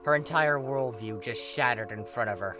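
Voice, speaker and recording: A man reading fan fiction aloud as narrator, in an expressive scripted storytelling style. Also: mid-range voice, moderate pace, faint music behind.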